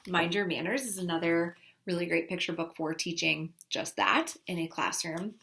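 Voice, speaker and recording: A woman's voice: fairly low pitch, steady tone, normal speed. A woman casually presenting book recommendations in an enthusiastic, gushing way. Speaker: children's book reviewer. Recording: clearly noisy recording with clicks.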